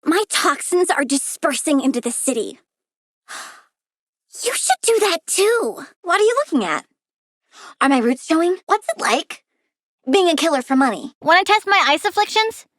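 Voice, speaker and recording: A woman's voice: sits high, a bit bright, no echo, clean studio sound. A woman playing a childlike, bratty young plant-girl villain in a video game, perky, blunt and gleefully bloodthirsty. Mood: gleeful, menacing. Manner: petulant, perky, blunt, sassy. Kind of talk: video game character voice lines.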